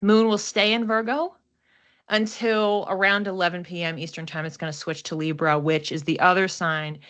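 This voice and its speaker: A woman's voice: on the low side, fairly even intonation, normal speed, a bit bright. A woman giving a casual, unscripted card and astrology reading, thinking aloud in a reflective, conversational way. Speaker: oracle card reader and astrology host.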